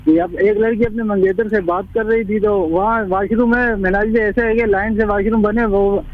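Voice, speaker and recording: Tinny phone line, male radio caller, Karachi Pakistani accent, high voice, halting storytelling, repeated words. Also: steady tone. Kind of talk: recounting an eerie incident on a phone-in. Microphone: telephone.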